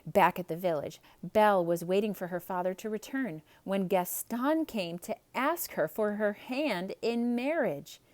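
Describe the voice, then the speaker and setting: A woman's voice: medium pitch, unhurried, few pauses, darkish tone, no echo. A woman storyteller narrating a children's tale as a theatrical read-aloud.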